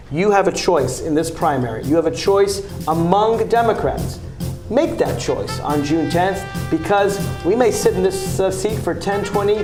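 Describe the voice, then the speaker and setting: A man with a fairly high speaking voice makes his campaign pitch in a TV studio interview. He talks fast and emphatically, pressing each point like a fighter. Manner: emphatic, combative, rapid-fire argument. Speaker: congressional candidate. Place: local cable TV studio.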